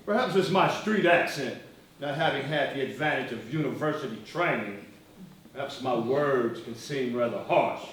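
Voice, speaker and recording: An actor playing a fiery, sardonic political leader in a stage play, with an oratorical, sarcastic, theatrical delivery. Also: male voice, medium pitch, moderate pace, a bit bright, a little hiss or hum, close to an everyday voice. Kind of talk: one-act stage play dialogue. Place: live theatre performance, recorded from far off. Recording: distant, very echoey stage hall.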